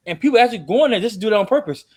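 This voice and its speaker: A man co-hosting a podcast, telling stories in an animated way and laughing through them. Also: sits high, very fast. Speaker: podcast co-host. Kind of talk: casual storytelling.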